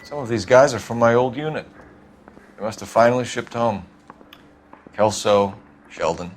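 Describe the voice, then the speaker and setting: An actor playing an earnest young 1940s police detective who asks direct, probing questions. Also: male voice, medium pitch, normal speed, slight background noise, natural voice. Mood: matter-of-fact.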